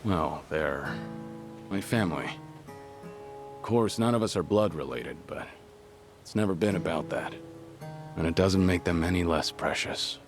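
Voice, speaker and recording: Aging yakuza legend, game cutscene, deep gravel, measured, restrained, gentle gravity. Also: male voice, faint music behind. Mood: somber, reflective. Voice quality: low, gravelly. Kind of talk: video game cutscene acting.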